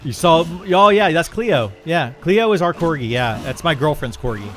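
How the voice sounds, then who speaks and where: A man's voice: a little high, expressive, moderate pace, a bit bright. A middle-aged man, a video game streamer, talking casually and a bit ramblingly as he answers viewers on a livestream. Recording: clear background noise and ambience.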